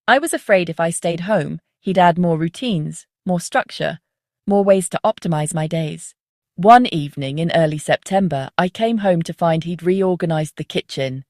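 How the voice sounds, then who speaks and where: A woman reading a scripted first-person story as the narrator of a dark psychological tale. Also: lowish voice, no echo, studio quality.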